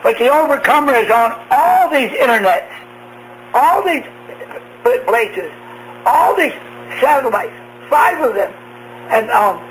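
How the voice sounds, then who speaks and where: A man preaching a radio sermon in slow, halting phrases, posing rhetorical questions. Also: very high-pitched, a bit bright. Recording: noisy humming shortwave radio broadcast.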